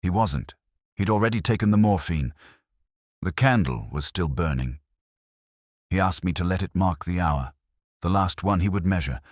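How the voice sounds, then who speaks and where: A man narrating a detective story, voicing a reserved woman academic who quietly confesses her guilt. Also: low voice, dry recording, studio quality.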